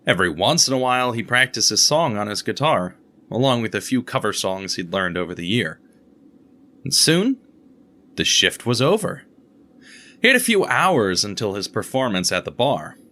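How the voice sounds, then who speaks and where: A man narrating an audio drama with expressive, steady storytelling. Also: medium pitch. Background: guitar music.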